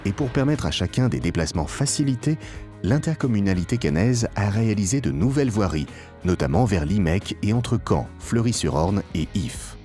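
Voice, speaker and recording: A man with a low voice narrates a polished institutional film. His intonation moves widely while the delivery stays formal and measured, stressing key phrases. Light music plays behind him. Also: expressive, warm tone. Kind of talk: scripted promotional documentary narration. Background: background music.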